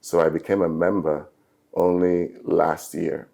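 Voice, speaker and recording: A middle-aged man from Nigeria, a native Yoruba speaker, with a very low, gravelly voice and a mellow, dark tone. He talks in earnest, upbeat phrases, measured and reflective. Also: steady tone. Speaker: musician guest. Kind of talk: reflective interview talk.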